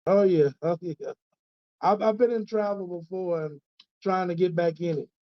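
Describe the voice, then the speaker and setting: Man, high-pitched, casual caller, hesitant, word repetitions, dry lo-fi audio. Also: steady tone, normal speed, dry recording. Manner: hesitant, repeats words. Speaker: caller asking questions. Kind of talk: casual question on a conference call. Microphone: conference call line. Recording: dull, dry lo-fi call audio.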